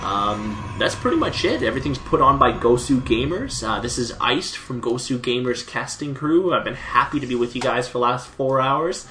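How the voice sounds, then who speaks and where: A young man casting a video game match by himself, slightly hoarse after a long day, chatty with lots of fillers. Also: medium pitch, lively intonation, moderate pace, few pauses, sharp tone, slight background noise. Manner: chatty, many fillers, laughs at plays. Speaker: esports caster. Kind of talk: solo play-by-play game commentary.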